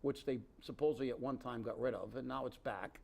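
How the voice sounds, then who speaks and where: A man's voice: mid-range voice, brisk, vocal fry, a little mellow. A man co-hosting a local political talk show, ranting in an indignant, sarcastic way and rambling with restarts. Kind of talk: opinionated casual political chat.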